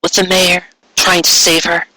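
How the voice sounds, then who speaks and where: An anxious female pony from a fantasy storybook, voiced by a male reader. She explains herself earnestly in a raised, bright voice with a husky edge. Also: sits high, completely flat delivery, unhurried, no room sound, voice pushed up, heavily acted, rough recording.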